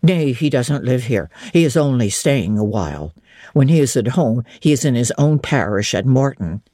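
Husky old Northern English servant woman. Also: extremely low pitch, lively intonation, moderate pace, warm tone, no echo, clean studio sound, pitched below the performer's own voice. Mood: blunt, homely. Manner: homely dialect speech. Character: plain-spoken old servant woman, homely, blunt, apologetic. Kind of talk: dramatic audiobook reading of a classic novel.

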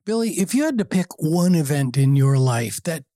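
A man's voice: fairly high pitch, very animated, normal speed, dark tone, no echo, studio quality. A man hosting a podcast, reading a polished scripted intro and asking interview questions in a friendly way. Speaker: podcast host. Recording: music bed underneath.

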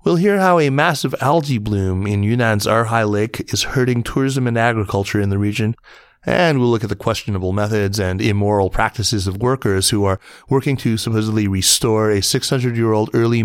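Guy with a lowish voice reading biz news for a podcast, measured and formal, super dry sound, no room. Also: no room sound. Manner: measured, formal newsreader delivery. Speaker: podcast host and news reader. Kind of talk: scripted news narration, reading articles aloud.

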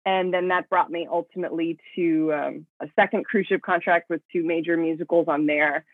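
A woman's voice: fairly low pitch, steady tone, moderate pace, no room sound. A young woman from Miami answering interview questions conversationally as a podcast guest, recorded over a remote call. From United States, grew up in Miami. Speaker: podcast guest, professional dancer. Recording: tinny, phone-like remote call.

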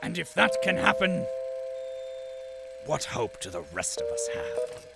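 Grandiose mournful chronicler, very gravelly creak, slow oratory, audio drama, music underneath. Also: male voice, fairly high pitch, big pitch swings, heavily acted. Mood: solemn, bleak. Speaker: narrator.

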